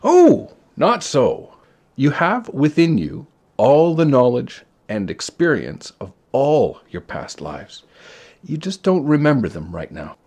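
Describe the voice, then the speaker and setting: A man's voice: mid-range voice, sing-song, takes it slowly, darkish tone, husky, heavily acted. A man voicing a casual, kindly God in a storybook, offhand and reassuring, with a matter-of-fact manner. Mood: kindly, explaining. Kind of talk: storybook character voice.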